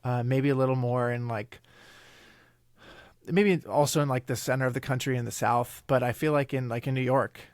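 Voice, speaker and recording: A man's voice: medium pitch, fairly even intonation, normal speed, no echo, clean studio sound. A man in his thirties, raised in Italy with Italian as his first language, talking as a podcast guest in a thoughtful, rambling way with restarts. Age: 30s. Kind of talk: casual interview chat.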